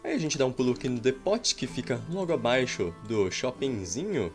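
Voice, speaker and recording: Brazilian man, lively sing-song delivery, jokey game commentary, faint music behind, home desk mic. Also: medium pitch, a little fast. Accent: Brazilian Portuguese. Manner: jokey, exclaims and sighs at game events. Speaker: gameplay streamer. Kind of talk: playful gameplay commentary. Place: small home room.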